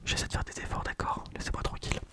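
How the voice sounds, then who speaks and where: A young adult vlogger whispering a rambling vinyl haul late at night, tired and often correcting themselves. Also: racing.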